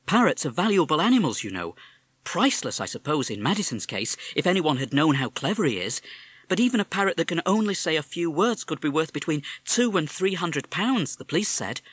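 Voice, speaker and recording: A steady, gentle mother explains things in a measured, soothing tone, as a man puts her on. Her pitch is lifted above the reader's usual voice and moves freely, heard dry and up close. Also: slightly rough, dry recording, exaggerated voice. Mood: reassuring. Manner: soothing, reassuring. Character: calm, steady British mother.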